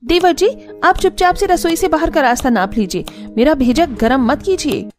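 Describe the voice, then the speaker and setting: A woman's voice: sits high, animated, normal speed, voice pushed up. A woman voicing an irritable, overworked young daughter-in-law in a cartoon family drama, grumbling sarcastically in exasperation. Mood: irritated. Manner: exasperated muttering complaints.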